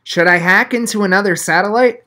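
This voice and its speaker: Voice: mid-range voice, brisk, bright, forceful tone, pitched above the performer's own voice, lightly acted. A reader voicing a geeky, earnest middle-school genius boy with an inquisitive manner in an audiobook story. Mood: matter-of-fact, curious.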